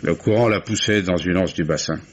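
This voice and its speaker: An actor playing a gruff, guarded old oyster fisherman in a 1950s radio drama, talking in a folksy, grumbling way. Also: low-pitched, brisk. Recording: muffled old radio recording with faint hiss.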